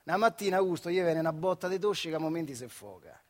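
An actor playing a proud, stubborn factory worker, telling his life in a colloquial central Italian dialect, animated and full of rhetorical questions. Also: on the high side, quick pace, natural voice. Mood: wry, animated. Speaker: actor-narrator. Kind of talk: theatrical storytelling monologue for radio.

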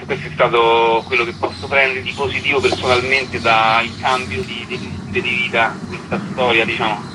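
A man's voice: mid-range voice, level tone, normal speed, noisy background. A man being interviewed casually as a writer and stage actor, hesitant and often repeating words as he thinks.

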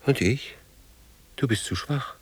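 An actor playing a weary, brooding older man in a radio drama, speaking gravely in halting fragments. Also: mid-range voice. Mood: urgent, musing.

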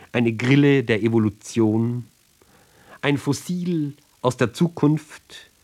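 A man giving a sober, measured literary reading for radio, phrase by phrase with deliberate pauses. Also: medium pitch, darkish tone. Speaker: reader of literary ballads.

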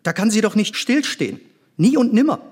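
A man's voice: high voice, sing-song, fairly quick, husky, exaggerated voice. An actor playing an eager, cheeky boy pupil who doubts and questions, in a theatrical reading. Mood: stubborn, puzzled.

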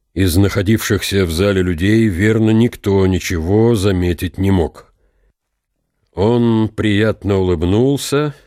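An actor playing an aging military count, proud and brooding, in a deliberate, reflective radio drama monologue. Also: male voice, deep voice, darkish tone, dry recording. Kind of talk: dramatized literary reading with inner monologue. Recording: studio radio drama with music behind.

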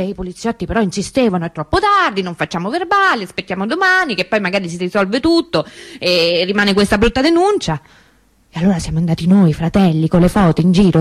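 Radio theatre monologue: anguished elder sister of a vanished girl, played by an actress, mid-pitched female voice, intimate, emphatic testimony with weighted pauses. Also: a little mellow. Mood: indignant, agitated, then urgent, aggrieved.

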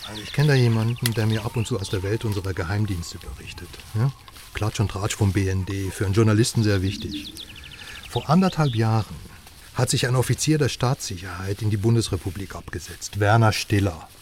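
An actor in a radio drama playing a brash West German investigative journalist, chatty, sardonic and emphatic. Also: male voice, lowish voice, brisk, darkish tone, natural voice. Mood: eager, sardonic. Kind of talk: naturalistic radio drama dialogue. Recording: slightly distant voice, faint outdoor noise.

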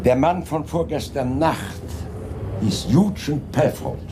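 A man playing a dry, composed, persistent police inspector in a radio crime play, speaking with measured, polite insistence. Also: a little high, expressive, background noise. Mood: serious, insistent. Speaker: actor in a radio crime drama. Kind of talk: 1980s German radio play acting.

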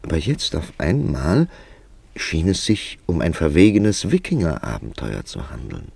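An inquisitive male narrator in a German radio drama. The voice is very low and creaky, with lively swings of intonation, and it tells the tale in a measured literary manner, recorded dry and close. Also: dry recording, heavily acted. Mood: absorbed narration.

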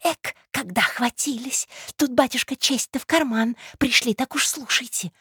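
A woman's voice: sits high, moderate pace, a bit bright, husky, no echo, studio quality, pitched above the performer's own voice, exaggerated voice. A woman playing a nosy, spiteful female eavesdropper in a story, brusque, needling and conspiratorial.

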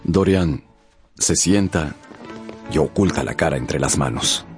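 Very deep, slow male narrator. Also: music in the background. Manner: solemn, deliberate, theatrical pauses. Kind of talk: dramatic scripted literary narration for radio.

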